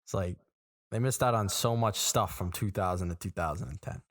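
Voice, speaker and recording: A man's voice: low voice, fairly quick, no echo, clean studio sound. A man in his twenties, co-hosting a podcast in casual chat, telling stories and acting out people's reactions. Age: 20s. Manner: animated storytelling, acts out quoted reactions. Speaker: podcast co-host. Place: small room.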